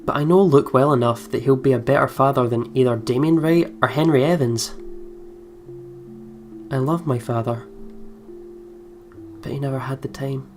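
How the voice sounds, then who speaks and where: A man doing let's play commentary, reading game narration aloud in an expressive way with playful asides. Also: mid-range voice, normal speed, pauses often. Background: soft game music with guitar.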